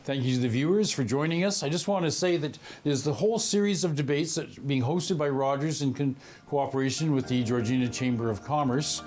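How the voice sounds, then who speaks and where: A man of middle pitch hosts a local TV debate, putting questions in a measured, formal manner. Faint music sits low beneath his voice. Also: darkish tone. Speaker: host. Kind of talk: broadcast debate interview.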